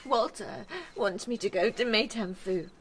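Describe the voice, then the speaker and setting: A woman's voice: mid-range voice, a little slow, very noisy, lightly acted. An actress playing a desperate, wounded married woman in a radio drama, speaking with emotional intensity to her lover. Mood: fearful, pleading.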